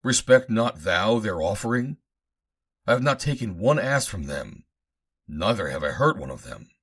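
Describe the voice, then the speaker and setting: Man playing a deep, stern, commanding prophet. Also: sing-song, normal speed, a bit bright, slightly rough, exaggerated voice. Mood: indignant. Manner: declamatory, emphatic. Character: stern male Hebrew prophet, indignant and commanding.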